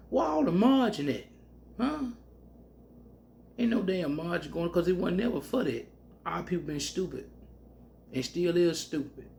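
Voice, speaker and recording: A man teaching scripture in a loose, colloquial country way, breaking into loud whoops. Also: mid-range voice, creaky, slight background noise. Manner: animated, bursts into loud whoops; colloquial Southern speech. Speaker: preacher.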